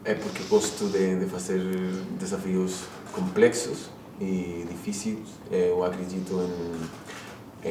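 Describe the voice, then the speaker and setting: A man's voice: low voice, roomy sound, recorded from a distance, noisy background. A man from Chile with a Chilean Spanish accent, an actor answering interview questions in Portuguese mixed with Spanish words.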